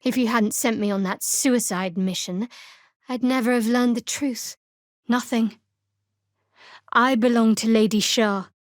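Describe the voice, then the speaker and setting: A woman playing a guarded, sardonic young cleric of a dark goddess in a fantasy video game, speaking in a dry, measured, wry way. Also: fairly high pitch, fairly even intonation, no room sound, natural voice.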